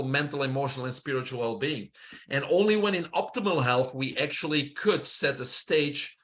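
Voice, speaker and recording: A middle-aged man from Belgium with a Belgian accent, a guest explaining his health work in a steady way, with frequent filler sounds. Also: mid-range voice. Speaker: guest, holistic health mentor. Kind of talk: interview answer, casual.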